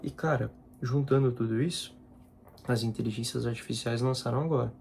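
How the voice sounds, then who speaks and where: A young man in his twenties talks loosely to the camera as a content creator, looping back over his words in an informal, slangy way. His voice is gravelly and mellow rather than bright. A faint noise sits behind him. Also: mid-range voice, steady tone, a little fast. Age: 20s. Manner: informal, repeats himself, slangy asides. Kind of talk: casual personal vlog talk.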